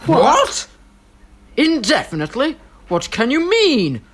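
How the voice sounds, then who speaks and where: A pompous, bickering upper-class husband, voiced by a woman blusters and snaps. The delivery swoops widely in pitch. Amateur audio drama. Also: wildly swooping pitch, slow, exaggerated voice. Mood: blustering. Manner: snappish, blustering.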